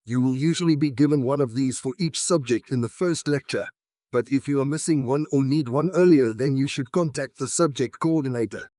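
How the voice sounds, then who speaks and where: A man's voice: mid-range voice, brisk, mellow, dry recording, studio quality. A man reading short scripted practice passages aloud as an exam narrator.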